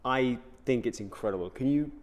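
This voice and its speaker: A man hosting a company channel interview, energetic and enthusiastic as he puts questions to a colleague. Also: mid-range voice, fairly quick. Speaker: host and interviewer.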